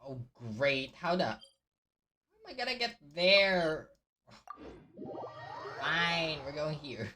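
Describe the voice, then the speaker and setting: A woman's voice: deep voice, animated, slow, long pauses, bright, forceful tone, recorded from a distance, a little hiss or hum. A woman streamer giving casual gameplay commentary, thinking aloud and muttering to herself as she plays. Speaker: game streamer.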